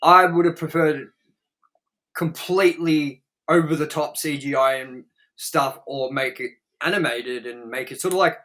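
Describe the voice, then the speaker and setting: A man's voice: a little high, a little slow, a bit bright. A man hosting a casual film review podcast, talking in a blunt, rambling, sweary way. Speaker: podcast host reviewing films.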